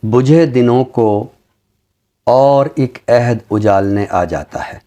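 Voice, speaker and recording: A middle-aged Pakistani man speaking in a formal, deliberate way, as a literary commentator on a talk show. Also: medium pitch, warm tone. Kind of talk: reflective commentary and poetry recitation on a literary show.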